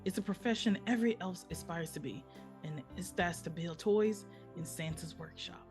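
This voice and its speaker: A chatty, proud elf storyteller played by a woman spins tales straight to the listener in a folksy, conversational way. The voice sits a little low, with music playing along in a live script read. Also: normal speed, darkish tone, natural voice. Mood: chatty, wry.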